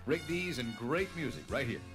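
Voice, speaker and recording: A man's voice: medium pitch, sing-song, normal speed. A man reading a promotional announcement for a radio music show.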